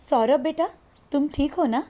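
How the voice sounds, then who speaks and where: A woman as a gentle high-voiced poor mother. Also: unhurried. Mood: gentle, polite. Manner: polite, placid.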